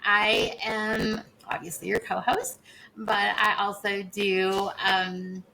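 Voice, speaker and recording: Woman, co-host, tired, chatty, gravelly, noisy recording. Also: mid-range voice, level tone, slow. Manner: chatty, teasing. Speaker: podcast co-host. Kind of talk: casual podcast chat.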